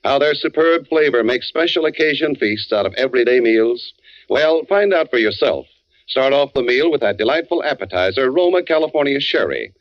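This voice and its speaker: A man's voice: mid-range voice, lively intonation, moderate pace, no echo. An American man reading radio advertising as a polished, persuasive 1940s announcer. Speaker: commercial announcer. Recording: muffled old radio recording.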